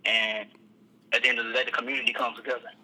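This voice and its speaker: A man, a rapper, talking about his music in a casual phone interview, laid-back and halting, often repeating words. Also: medium pitch, level tone, sharp tone. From Texas, USA. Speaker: rapper guest. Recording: tinny phone line.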